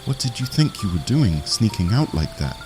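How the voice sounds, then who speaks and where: Worried, reproachful father played by a man, hugely varied intonation, storybook drama, soft music behind. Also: fairly low pitch, sing-song, moderate pace. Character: worried, scolding father of young girl. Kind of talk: dramatized audiobook acting.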